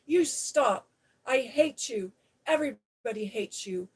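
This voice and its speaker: A woman voicing a fierce, bossy little girl who shouts and scolds. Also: medium pitch, fairly even intonation, takes it slowly. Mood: furious. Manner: shouting, commanding.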